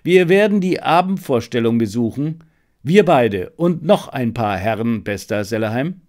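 A male audiobook reader voicing a self-assured, brisk gentleman detective with an assured, instructive manner. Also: mid-range voice, lively intonation, close to an everyday voice. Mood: lively, commanding. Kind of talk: audiobook character dialogue.

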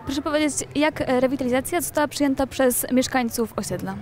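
High-voiced young woman interviewer. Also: quick pace, a little mellow. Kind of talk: presenter questions. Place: shopping mall seating area, indoors. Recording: faint mall noise behind.

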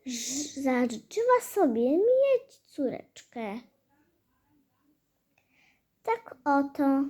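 A woman storyteller narrating a fairy tale in a halting, hesitant way, with stumbles and repeated phrases. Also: high-pitched, mellow. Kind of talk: fairy-tale storytelling for a hobby audio play.

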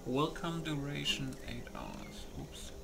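A man with a fairly high, gravelly voice talks to himself through a casual gaming video. He reads menu settings aloud at an unhurried pace and mutters loose asides. Music plays behind him. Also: wildly swooping pitch. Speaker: gamer recording a mod setup. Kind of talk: casual game commentary, reading settings aloud.

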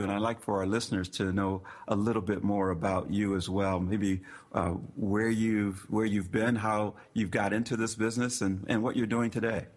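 A man hosting a podcast interview, earnest and warm in his words, often repeating himself and using fillers. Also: lowish voice, breathy edge. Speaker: podcast host. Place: California.

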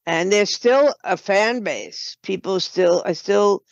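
An older woman answers in an interview at a very slow, halting pace. Her voice is on the low side for a woman. The recording is a bit dull and lo-fi. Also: gravelly, no echo. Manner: halting, deliberate. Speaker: guest, game writer and producer. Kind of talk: conversational interview. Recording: slightly dull, lo-fi sound.

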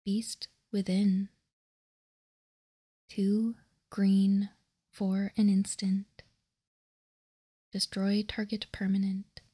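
A woman whispers in an ASMR style, close to the microphone. Her delivery is very slow and deliberate, with an even, flat pitch. The voice is breathy and hushed as she reads aloud. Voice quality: soft unvoiced whisper, breathy. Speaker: ASMR host. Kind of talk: ASMR, reading card text aloud.

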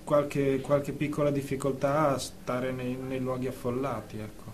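An actor playing an anxious, phobic young man who stammers and haltingly repeats his words, in a radio drama. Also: medium pitch, level tone, slight background noise, close to an everyday voice. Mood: anxious, embarrassed. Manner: stammering, halting, nervous repetitions.

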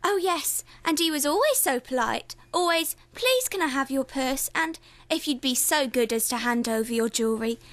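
Woman playing a besotted, easily affronted lady, high voice, dry sound, comic radio play. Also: expressive, normal speed, few pauses, fairly bright tone, no echo. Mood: gushing. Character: indignant, gushing lady besotted with a highwayman, heckling listener.

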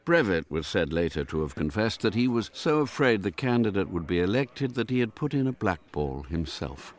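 An actor as a measured, literary narrator telling a spy story in a scripted radio drama. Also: male voice, deep voice, very animated.

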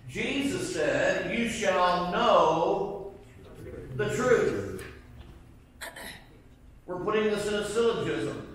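A middle-aged man teaching a Bible class in a church sanctuary, emphatic and often putting questions to the class. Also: high-pitched. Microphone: far camera at the back of the room. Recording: echoey room from far off.

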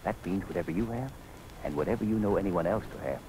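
An American actor playing a stern, principled soldier who lectures firmly in a 1940s film. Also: male voice, low-pitched, quick pace, lightly acted. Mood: reproving. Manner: lecturing, firm. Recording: noisy 1940s film soundtrack.

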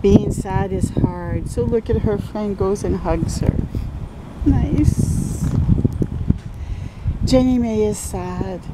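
An older woman reads a picture book aloud to children in an expressive, encouraging way, adding her own asides. Her voice sits at a medium pitch. She is recorded outdoors with loud wind and ambient noise around her. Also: slow, long pauses. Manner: expressive, encouraging, speaking to young viewers. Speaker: storytime host. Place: outdoors under trees by a river.

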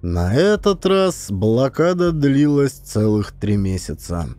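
A man narrating an adventure audiobook as a scripted story, with expressive, emphatic delivery. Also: medium pitch, takes it slowly. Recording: music behind the voice. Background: music.

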